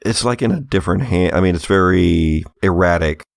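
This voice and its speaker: A man's voice: sits low, a little fast, creaky, dry recording, studio quality. A man running a tabletop horror game as game master, narrating and explaining in a conversational way.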